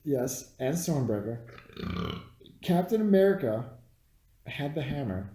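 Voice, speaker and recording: A man's voice: on the high side, very animated, a little slow, dark tone. A man who has had some beers, chatting casually as a co-host reviewing a film, with slangy talk and free swearing. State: has been drinking beer. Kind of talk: casual chat. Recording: echoey, roomy sound.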